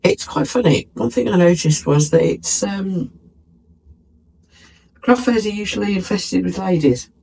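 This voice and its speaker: A man's voice: high-pitched, animated, a little slow, vocal fry, pauses often, darkish tone, breathy edge, low-quality recording. A British man chatting casually in a craft vlog, sounding stuffy and bunged up from a lingering cold, musing and poking fun at himself. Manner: chatty, musing, self-mocking. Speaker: craft vlogger.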